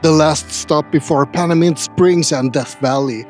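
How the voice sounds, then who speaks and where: A man narrates a scripted travel video in a voice that sits a little high. His humor is dry, wry and a touch grumpy. Faint music plays underneath. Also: measured pace, a little mellow. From Sweden. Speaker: travel vlogger.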